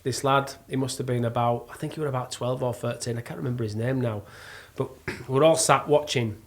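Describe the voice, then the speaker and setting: A middle-aged man with a Yorkshire accent, chatting casually and spinning animated, self-mocking stories. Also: mid-range voice, fairly quick. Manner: jokey, self-mocking storyteller. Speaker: podcast guest, actor and plasterer. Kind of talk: casual chat, animated anecdote telling.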